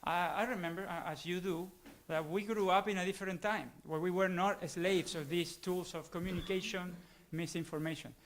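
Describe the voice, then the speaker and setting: A man in the audience posing a long question in a formal, halting way, often searching for words. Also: high-pitched, fairly even intonation, slight background noise. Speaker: audience member asking a question.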